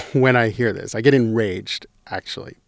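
A man's voice: lowish voice, unhurried, creaky, dry recording, studio quality. A man hosting a podcast, chatting and joking playfully with colleagues. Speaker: podcast host. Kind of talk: casual chat.

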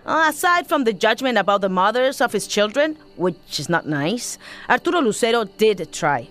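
An actress playing a wry, judging narrator in a radio soap opera, commenting conversationally on the story. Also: female voice, fairly high pitch, very animated, normal speed, fairly bright tone.

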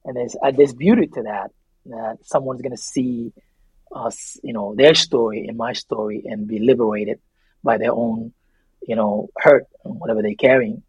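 A man's voice: medium pitch, moderate pace, darkish tone, breathy edge, no room sound, poor audio. A man with a Somali accent, a native Somali speaker, talking reflectively as an interview guest, earnest and emphatic, often repeating his phrases. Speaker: interview guest, memoir author.

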